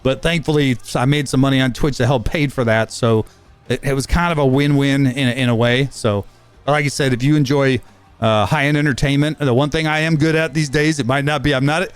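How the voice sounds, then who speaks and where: A man in his fifties giving a rambling, casual update on his gaming channel, talking fast with frequent fillers and restarts. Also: mid-range voice, steady tone, smooth, faint music behind. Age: 50s.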